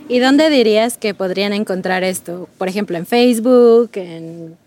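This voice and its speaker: A woman's voice: mid-range voice, moderate pace, darkish tone. Woman host, engaged and affirming. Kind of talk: casual interview chat.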